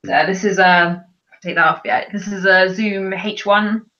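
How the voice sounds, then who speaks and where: A woman's voice: mid-range voice, fairly even intonation, a little slow, pushed and bright. A woman hosting a livestream, chatting casually and laughing often. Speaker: livestream host. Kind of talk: casual group chat. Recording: dull lo-fi stream audio.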